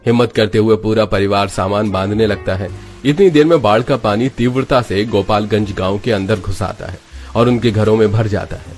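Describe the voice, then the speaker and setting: A man's voice: fairly low pitch, steady tone, normal speed, darkish tone, soft background music. A man giving dramatic scripted narration of a story.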